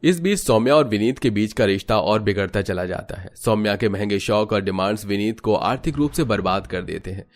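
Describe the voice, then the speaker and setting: A man's voice: on the low side, animated, a little fast, few pauses. A man giving scripted, melodramatic narration for a cartoon family story.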